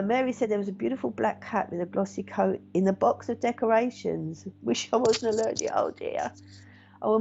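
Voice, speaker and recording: A middle-aged woman, a meditation teacher and medium, chatting gently and reassuringly to viewers with many fillers. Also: mid-range voice, gravelly. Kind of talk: casual live-stream chat reading viewer comments. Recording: dull lo-fi stream audio.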